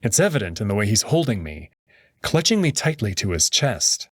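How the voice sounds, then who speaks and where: A man narrates a story in a dramatic, scripted style. His pitch moves widely and his voice is slightly husky, recorded close and dry with soft music underneath. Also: very animated, moderate pace, no room sound. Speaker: narrator reading a visual novel aloud.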